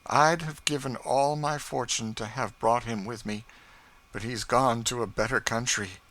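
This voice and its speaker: A man voicing a kind, earnest young master who speaks in a measured, formal way in an audiobook. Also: medium pitch, fairly even intonation, fairly bright tone, voice pushed up, lightly acted, poor audio.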